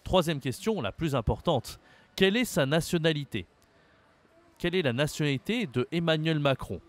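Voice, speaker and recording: A man's voice: a little high, expressive, normal speed. A man from France teaching a French lesson, speaking patiently, repeating words and spelling them out letter by letter. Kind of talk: slow, carefully articulated language lesson.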